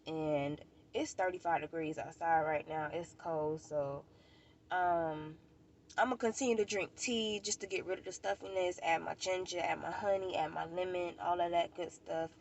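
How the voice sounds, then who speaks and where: A woman's voice: on the low side, fairly even intonation, moderate pace, a little hiss or hum. An American woman vlogger with a stuffy nose, chatting casually and informally to the camera, rambling and repeating herself. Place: outdoors at a park.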